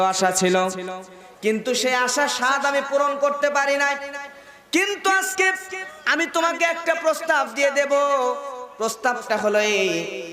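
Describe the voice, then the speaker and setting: A Bangladeshi man voicing a lustful, menacing young butcher in a sermon story, with drawn-out, declamatory speech. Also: high-pitched. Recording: echoing loudspeaker sound with crowd.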